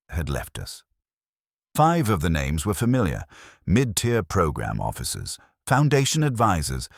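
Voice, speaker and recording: Very deep-voiced male story narrator. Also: no echo, studio quality. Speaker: audiobook narrator. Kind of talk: scripted first-person detective story narration.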